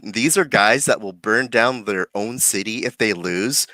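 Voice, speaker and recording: Comic writer guy on a stream, bright voice, very dry sound. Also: lowish voice, measured pace, dry recording, low-quality recording. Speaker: comic book writer, livestream guest. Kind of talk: casual chat.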